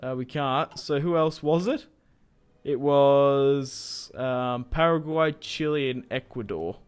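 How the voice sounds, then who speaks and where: A man's voice: fairly high pitch, smooth. A young man casually commentating a strategy game he plays, chatty and rambling, swearing now and then. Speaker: gaming YouTuber. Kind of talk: casual let's-play commentary.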